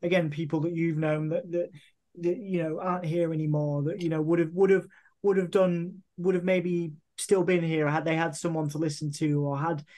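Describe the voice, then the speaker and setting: A man's voice: on the high side, level tone, a little mellow. A man from the United Kingdom hosting an interview, affirming his guest and often restarting his sentences. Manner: affirming, halting, many restarts. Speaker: interviewer host. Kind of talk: interview conversation.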